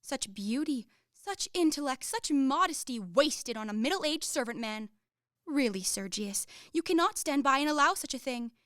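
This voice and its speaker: A woman's voice: high voice, expressive, normal speed, a bit bright, dry recording, clean studio sound. A woman acting a proud, indignant young lady in a radio play, haughty and melodramatic with a sarcastic edge. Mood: biting sarcasm.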